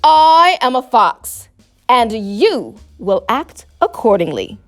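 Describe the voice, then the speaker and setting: A woman's voice: on the high side, big pitch swings, slow pace. A woman voicing a vain, pompous, easily flustered male fox in a storybook, speaking in wordy, indignant phrases, with music behind. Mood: boastful. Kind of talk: storybook character voice.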